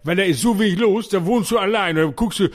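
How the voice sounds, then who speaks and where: A man's voice: sits high, quick pace. Chatty man telling stories. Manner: chatty, storytelling, many fillers. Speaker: co-host. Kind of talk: casual podcast chat.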